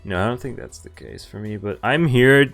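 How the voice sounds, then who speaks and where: A man's voice: fairly low pitch, normal speed, gravelly, fairly bright tone, faint music behind. A man streaming a visual novel, casually reading game text aloud and adding informal, jokey commentary. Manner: informal, jokey asides between read lines.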